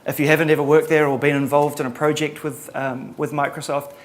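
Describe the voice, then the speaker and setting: A middle-aged man from New Zealand with a Kiwi accent, giving a relaxed conference talk with lots of ums and a dry sense of humor. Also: a little high, fairly quick. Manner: casual, many ums and uhs, dry humor. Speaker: conference presenter.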